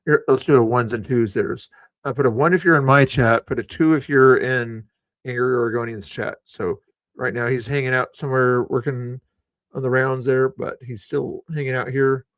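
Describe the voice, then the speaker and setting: A man's voice: medium pitch, steady tone, moderate pace, a bit bright, no room sound. A man hosting a live stream, chatting in a laid-back way and speaking to his viewers. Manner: laid-back, talks to the chat. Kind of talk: casual live-stream chat. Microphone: streaming microphone.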